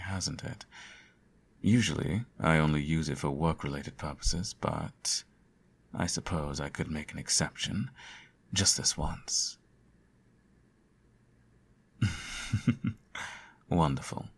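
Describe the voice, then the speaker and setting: A man playing a teasing, doting supervillain in an intimate ASMR roleplay, suave and coaxing, with a gravelly, husky voice. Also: extremely low pitch, full character voice. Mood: reassuring. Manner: suave, theatrical, coaxing, uses pet names. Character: teasing, doting male supervillain nursing his hero nemesis.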